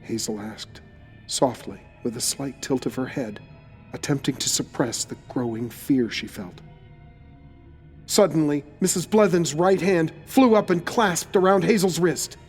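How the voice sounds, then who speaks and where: A man's voice: on the high side, unhurried, darkish tone, soft background music. A man narrating a scripted audio horror drama in a suspenseful, dramatic storytelling manner.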